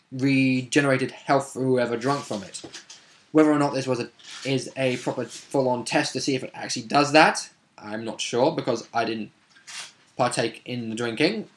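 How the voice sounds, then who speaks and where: A man with a mid-pitched voice talks through a roleplaying session in an unscripted, casual way. He rambles a little, using wordy phrasing and side remarks. He often reworks a sentence as he tells the story. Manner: rambling, wordy, with asides and self-corrections. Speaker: vlogger recapping a tabletop roleplaying session.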